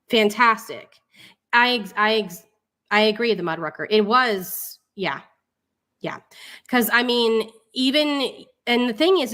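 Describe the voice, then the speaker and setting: Female livestream host, medium pitch, informal and wry, able to switch between formal read-aloud and mocking casual commentary. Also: fairly bright tone. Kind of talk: live online commentary, reading a legal document aloud with casual asides.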